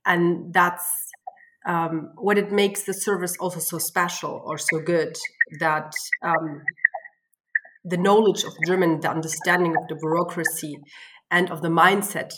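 A woman in her thirties from Spain, speaking as a podcast guest. She talks with many fillers and hesitations and laughs often. Her voice sits a little low. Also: fairly even intonation, a little slow, background noise. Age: 30s. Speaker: financial planner, guest. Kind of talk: interview guest telling her story.

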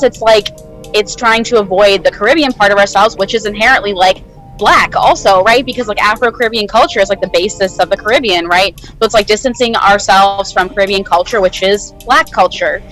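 A woman's voice: mid-range voice, fairly bright tone, low-quality recording. A woman speaking thoughtfully and analytically in a casual group discussion, checking in with "right?" as she goes.

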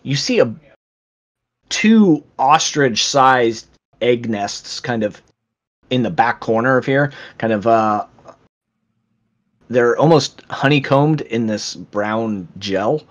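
Male game master, mid-pitch, hesitant descriptive narration. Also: expressive, slow pace, long pauses.

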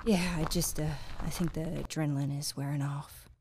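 A woman's voice: low-pitched, fairly even intonation, normal speed, a little mellow, slight background noise. A woman playing a shaken but questioning young Wild West survivor in an audio drama. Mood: shaken, wary. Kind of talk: amateur audio drama acting and narration.

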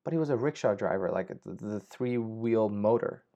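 A man's voice: fairly low pitch, animated, moderate pace, warm tone. A young man hosting a casual solo podcast, rambling with frequent swearing and restarts. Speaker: podcast host. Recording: slightly dull lo-fi audio.

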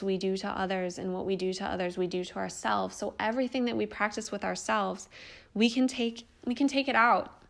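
A woman's voice: medium pitch, creaky. A young woman hosting a podcast, talking earnestly and encouragingly about life purpose, casual with the odd swear word. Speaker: podcast host. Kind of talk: spiritual self-help monologue.

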